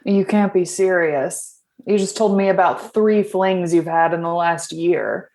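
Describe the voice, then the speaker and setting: Low-voiced, cynical starship captain. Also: female voice, measured pace. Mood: blunt, wry. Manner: blunt, deadpan, teasing. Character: cynical, tough female starship captain, dry and blunt. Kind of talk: comedic sci-fi radio theater acting.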